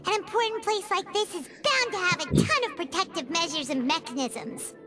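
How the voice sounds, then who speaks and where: Squeaky, very high little fairy girl. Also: lively intonation, moderate pace, over loud music. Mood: excited, curious. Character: tiny chirpy childlike fairy companion, female, excitable. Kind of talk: anime game voice acting.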